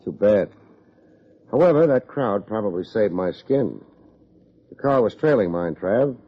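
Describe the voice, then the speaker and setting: Low, husky, wry American private eye. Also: male voice, measured pace. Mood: dry, matter-of-fact. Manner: deadpan wisecracking. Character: wry, self-deprecating male private investigator. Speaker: lead actor, narrator. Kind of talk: old-time radio detective drama acting. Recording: muffled old radio recording.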